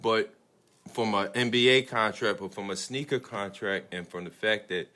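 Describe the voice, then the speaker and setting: A man with an American accent and a somewhat deep but bright, forceful voice. He debates casually as a podcast co-host, often conceding a point and repeating his words. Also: moderate pace.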